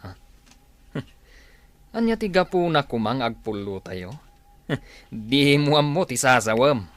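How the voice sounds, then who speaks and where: A man's voice: mid-range voice, lively intonation, slow pace, no room sound. Man acting commanding villain boss, swinging delivery. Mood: mocking. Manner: commanding, sneering. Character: scheming male villain boss, commanding and mocking. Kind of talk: Ilocano audio drama acting.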